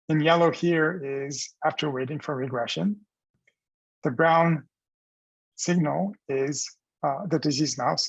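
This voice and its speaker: A man giving a scientific research presentation as a professor, explaining in a measured, halting way with restarts. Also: fairly high pitch, gravelly, slightly rough, no room sound, rough recording.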